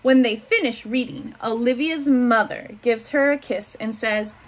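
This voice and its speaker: A woman with a fairly high voice reads a picture book aloud to young children. Her storytelling is simple and deliberate, with small asides about the pictures. She is recorded in a small room with noticeable noise behind her. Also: low-quality recording. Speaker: school staff member reading aloud to pupils. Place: small home office room, indoors.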